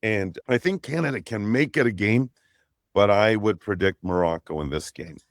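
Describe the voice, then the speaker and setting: Middle-aged man, Portuguese-native co-host, animated rambling storyteller, dry close sound. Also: on the low side, moderate pace, no echo. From Brazil. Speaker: podcast co-host. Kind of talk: casual chat, storytelling.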